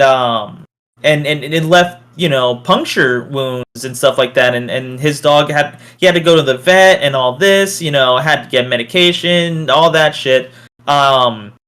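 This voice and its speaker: A man chatting casually as a podcast co-host, telling stories in a halting, informal way. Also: fairly high pitch, bright, forceful tone, smooth. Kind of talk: casual podcast chat, storytelling.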